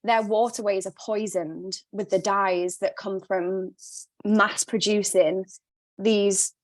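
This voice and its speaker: A woman with a light, mixed Glaswegian-tinged accent speaks in a podcast chat, passionate and blunt, swearing freely. Her voice sits at a middle pitch. It is recorded close and dry, with no room sound. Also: steady tone, slow pace, no echo. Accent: non-native Glaswegian mix. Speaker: guest, sustainable stylist. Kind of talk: casual podcast interview. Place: not originally from Glasgow, now lives there.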